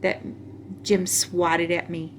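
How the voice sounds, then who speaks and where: A woman's voice: lowish voice, level tone, slow pace, a little hiss or hum. A woman reading a children's storybook aloud as a storyteller.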